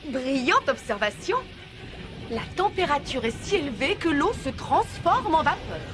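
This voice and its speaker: Unflappable cartoon schoolmistress, cheerful and playful, high voice with music underneath. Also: female voice, sing-song, a little slow. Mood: amused. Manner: playful, reassuring. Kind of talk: French-dubbed children's cartoon acting.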